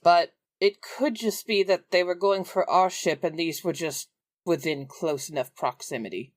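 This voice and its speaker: A woman's voice: lowish voice, moderate pace, no room sound, studio quality. A woman chatting casually as a player in a tabletop roleplaying game. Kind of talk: casual chat.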